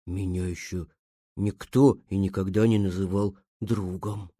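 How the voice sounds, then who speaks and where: A woman voicing a gentle, earnest male bear cub in a children's story, naive and thoughtful. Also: a bass voice, expressive, a little slow, no echo, studio quality, exaggerated voice. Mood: shy, touched.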